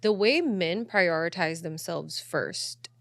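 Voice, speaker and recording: Frank woman chatting on a podcast, lowish voice, really dry close sound. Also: slow, creaky, fairly bright tone, dry recording. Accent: Filipino. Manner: frank, swears casually, frequent "like" and "you know". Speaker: podcast host. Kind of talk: casual opinionated podcast chat.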